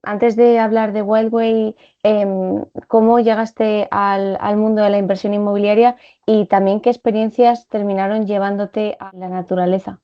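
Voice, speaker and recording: A woman's voice: medium pitch, flat delivery, normal speed. A woman asking questions as an interviewer in a conversational setting. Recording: dull, band-limited audio.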